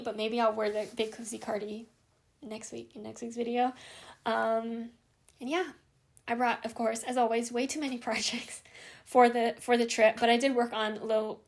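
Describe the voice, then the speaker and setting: A young woman from Hawaii hosting a knitting vlog, chatting casually and rambling with many fillers and restarts. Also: fairly high pitch. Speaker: knitting podcast host.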